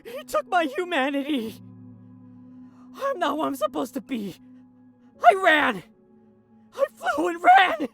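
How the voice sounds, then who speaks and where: Casting: haunted lad on the run, male actor, slow hesitant delivery, audio drama with music bed. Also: very high voice, huge pitch swings, gravelly, a bit bright, full character voice. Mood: anguished, frantic. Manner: halting, hesitant. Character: traumatized shape-shifting fugitive lad, Lamar, recalling captors. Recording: music under the voice.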